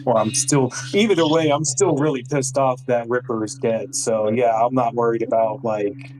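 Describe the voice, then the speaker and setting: A man's voice: mid-range voice, normal speed, darkish tone, poor audio. A man chatting casually as a player in a tabletop role-playing game.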